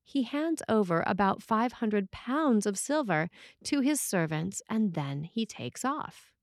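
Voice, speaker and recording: A woman reads a scripted sermon as a priest, phrasing it in a measured, deliberate way with pauses. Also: medium pitch, no echo, clean studio sound. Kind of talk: scripted sermon, read aloud.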